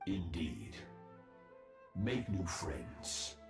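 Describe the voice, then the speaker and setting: Ruthless game villain-mentor, slow and solemn, low voice with dramatic swings. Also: male voice, sing-song, roomy sound, soft background music, full character voice. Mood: grave, commanding. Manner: solemn, theatrical, menacing. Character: stern ruthless male warrior master addressing his disciple. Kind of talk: dramatic video game voice acting.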